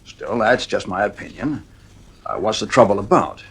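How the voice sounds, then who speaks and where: An actor playing a middle-aged psychiatrist in a radio drama, measured, frank and wry, with a husky voice. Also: male voice, fairly low pitch. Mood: wry, professional. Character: male psychiatrist, frank, wry family friend.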